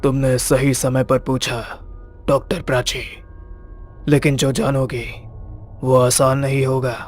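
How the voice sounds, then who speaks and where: A man playing a solemn hospital dean in a cartoon horror story, speaking in a measured way. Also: mid-range voice, completely flat delivery, faint music behind, natural voice. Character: solemn male hospital dean revealing dark history.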